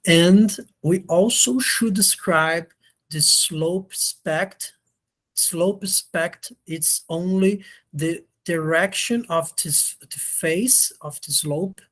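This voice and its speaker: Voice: medium pitch, very slow, a bit bright. A slightly sick lecturer who is not a native English speaker, explaining hesitantly on a video call and often checking understanding. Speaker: university lecturer.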